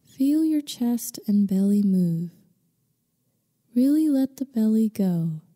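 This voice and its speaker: A woman guiding a meditation in slow scripted narration, with a soothing, unhurried, gently instructing manner. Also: a little high, animated. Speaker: meditation guide. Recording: long reverberant hall-like echo.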